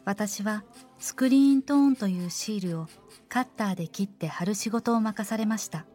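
Dark, mellow woman narrating horror. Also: mid-range voice, moderate pace, soft background music. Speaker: first-person story narrator. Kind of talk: scripted horror drama narration.

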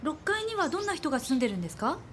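An actress playing a timid, earnest young aspiring artist in a radio drama, meek and polite. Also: female voice, high-pitched, very animated, racing, fairly bright tone, a little hiss or hum. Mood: curious.

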